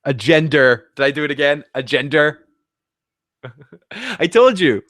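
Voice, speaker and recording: A man's voice: a little high, very animated, unhurried, a bit bright, no echo, studio quality. A man with a Boston accent, sick with the sniffles, rambling through a casual TV episode recap with restarts and cursing. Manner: rambling, restarts and swears freely. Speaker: show recap host.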